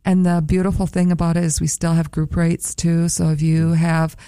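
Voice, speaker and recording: A woman who works at a museum, talking in a relaxed radio interview and promoting events and admission rates in an upbeat way. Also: on the low side, moderate pace, clear, resonant tone, no room sound, clean studio sound. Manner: upbeat, promotional, careful over a foreign word. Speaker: museum staff guest.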